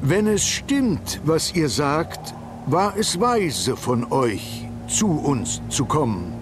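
Stately older nobleman, higher-pitched, lively intonation, formal game cutscene delivery over music. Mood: grave, courteous. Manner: formal, measured, ceremonious. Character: stately, formal older count, guardian of a relic. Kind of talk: video game cutscene acting.

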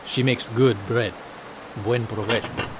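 A man playing a gentle, welcoming, proud father in an audio drama. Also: medium pitch, fairly even intonation, a little slow, close to an everyday voice. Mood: warm, proud. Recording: faint ambient background noise.

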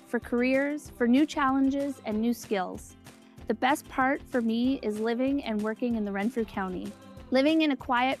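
A woman reading an upbeat, polished scripted promotional narration. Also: fairly high pitch.

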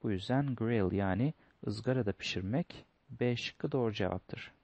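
A man's voice: on the low side, moderate pace, a little mellow, no room sound. A man teaching a lesson, going through test questions step by step, reading each line in English and then translating it. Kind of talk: explaining test answers.

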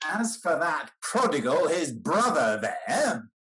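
A man's voice: fairly high pitch, very animated, slow, bright, forceful tone, heavily acted. An older man playing a shrewd, blunt, wealthy old uncle in a period stage comedy, declaiming emphatically. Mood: indignant. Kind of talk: theatrical period comedy acting over video call. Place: indoor room at home. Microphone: computer mic on video call.

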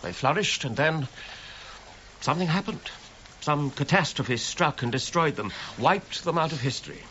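Animated, stubborn gentleman archaeologist. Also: fairly high pitch, normal speed, highs cut off, a little hiss or hum. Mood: fervent, certain. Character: earnest, stubborn gentleman archaeologist, proud and anxiously possessive. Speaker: actor in a radio drama. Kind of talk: period radio drama acting.

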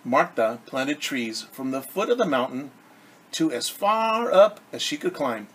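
A man's voice: a little high, sing-song, unhurried, a bit bright, poor audio. A middle-aged man reading a story aloud to children as a teacher, expressively, with simple explanations, recorded in a living room at arm's length from the camera. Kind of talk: read-aloud storytelling with asides explaining words. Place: indoors in a home living room. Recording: clear background room noise.

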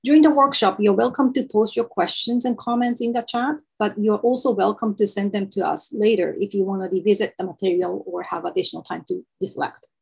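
A woman whose first language is Japanese, speaking English in a careful, measured way. Her voice has some creak and a husky edge. She is heard over a video call from a small room. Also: mid-range voice, steady tone, darkish tone, poor audio. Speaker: workshop facilitator. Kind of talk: online workshop introduction.

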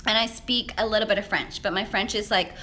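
A young woman whose first language is Vietnamese, chatting through a casual live Q&A as a menswear stylist, filming herself on a handheld phone in a high-rise office. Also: fairly even intonation, a little fast, bright, forceful tone, slight background noise. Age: young adult. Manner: chatty, upbeat, laughs often, many fillers. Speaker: menswear stylist answering viewer questions. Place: high-rise office with large windows, indoors. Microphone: handheld phone at arm's length, shaky.

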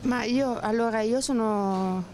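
A woman's voice: on the high side, slow, a little mellow. A middle-aged woman from Switzerland answering a street interview at night, hesitant and searching for her words, into a handheld mic. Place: outdoor town square at night. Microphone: handheld mic held by interviewer. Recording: outdoor street with crowd behind.

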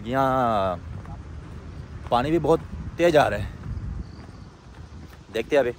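A young man casually vlogging outdoors at a lake, excitedly pointing things out to viewers. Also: mid-range voice. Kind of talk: casual vlog narration. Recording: noisy outdoor recording with rushing water.

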